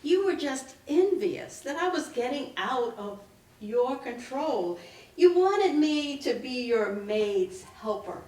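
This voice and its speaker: Actress as bitter grieving daughter, slow and swooping. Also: female voice, high-pitched, big pitch swings, darkish tone, heavily acted. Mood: defiant, grieving. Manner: biting sarcasm, emphatic dramatic delivery. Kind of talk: staged dramatic reading. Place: indoor live reading at a public library. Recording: echoey room, picked up from a distance.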